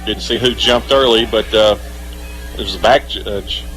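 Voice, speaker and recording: A man's voice: on the low side, unhurried, fairly bright tone, soft background music, rough recording. Man doing football color commentary on radio. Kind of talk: live radio football analysis.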